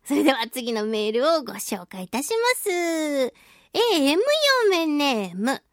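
High-voiced, playful woman hosting radio. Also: very animated, measured pace, dry recording, clean studio sound. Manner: playful, giggly, self-teasing. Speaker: radio show host and voice actress. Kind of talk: casual solo radio chat, reading listener mail. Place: close, dry studio recording.

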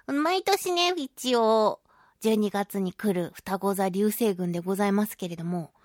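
High-voiced woman hosting chatty radio talk. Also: no echo, clean studio sound. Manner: animated storytelling with sound-effect words and playful polite phrasing. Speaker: radio show host. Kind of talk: casual solo radio talk.

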